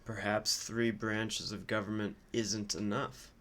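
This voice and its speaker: Guy with a lowish voice just riffing on politics, informal and kinda musing. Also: little pitch movement, takes it slowly, a bit bright. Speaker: streamer commentating on news. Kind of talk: casual off-the-cuff political commentary.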